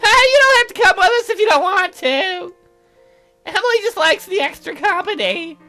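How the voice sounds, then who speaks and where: A man voicing a shy, sweet schoolgirl classmate in an eager, squeaky way for a visual novel. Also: expressive, normal speed, bright, forceful tone, faint music behind, exaggerated voice. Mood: sweet, gentle.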